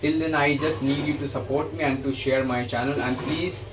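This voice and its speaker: Stammering Indian English teacher, far off. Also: male voice, a little high, fairly even intonation, moderate pace. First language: Hindi. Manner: stammers and restarts often. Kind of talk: bilingual grammar lesson. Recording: distant mic with noticeable background noise.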